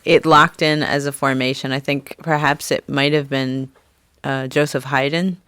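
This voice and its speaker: A woman from Pennsylvania, a first violinist guest, giving soft-spoken, thoughtful explanations in a radio studio. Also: extremely low pitch, steady tone, measured pace, smooth, dry recording. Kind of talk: relaxed interview answers.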